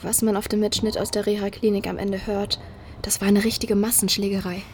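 An actress playing a wary young woman in hiding, confiding in a friend in hushed, often half-whispered audio drama dialogue. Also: mid-range voice, little pitch movement, a little fast, noisy background, lightly acted. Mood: confiding, shaken.

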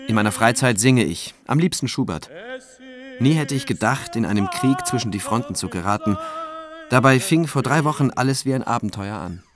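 An actor playing a cautious prosecutor in his forties, narrating his story in a radio thriller in a measured, dryly ironic way. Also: mid-range voice, animated, noisy background, close to an everyday voice. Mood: reflective, wry. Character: forty-year-old cautious male prosecutor telling his own story. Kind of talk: scripted first-person radio drama narration. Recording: music behind the voice. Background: music.

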